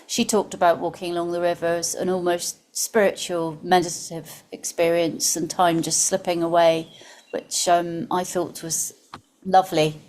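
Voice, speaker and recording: A woman's voice: fairly low pitch, flat delivery, moderate pace, a little mellow. A woman speaking as a podcast host and interviewer in a conversational style.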